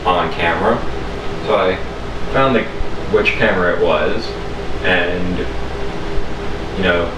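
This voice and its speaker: Deep-voiced man in an echoey room. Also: slow, pauses often. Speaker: storyteller, video host. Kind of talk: casual personal storytelling. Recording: roomy echo, distant mic, background hum.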